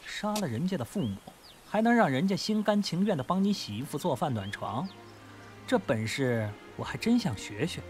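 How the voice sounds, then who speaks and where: A man's voice: a little high, sing-song, moderate pace, darkish tone, soft background music. A man playing a crude, menacing, mocking gangster kidnapper in an audio drama, taunting and foul-mouthed. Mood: mocking menace.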